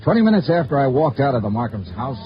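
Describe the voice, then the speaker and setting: An actor playing a shrewd, dogged insurance investigator in a 1950s radio noir drama, terse and matter-of-fact. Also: male voice, mid-range voice, very animated, fast talker, close to an everyday voice. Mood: dry narration. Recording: muffled vintage radio recording with music.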